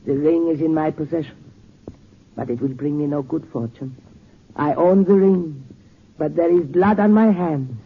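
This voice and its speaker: A fatalistic, guilt-ridden villain, speaks solemnly in a 1940s radio drama. The voice is creaky and a little high for a man, on a muffled recording. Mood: doomed, solemn. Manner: solemn, theatrical, measured.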